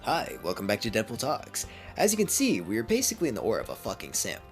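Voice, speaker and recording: A man voicing a brash, crude, wisecracking male mercenary who jokes loudly, over faint music. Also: fairly low pitch, wildly swooping pitch, quick pace, pitched above the performer's own voice. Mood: jokey. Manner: loud, crude, jokey.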